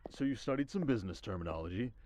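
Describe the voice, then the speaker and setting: A man playing a stern, demanding middle-aged rich businessman father in an audio roleplay, speaking in a measured, formal, commanding way. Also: lowish voice, expressive, a little mellow, slight background noise, natural voice. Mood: measured, appraising.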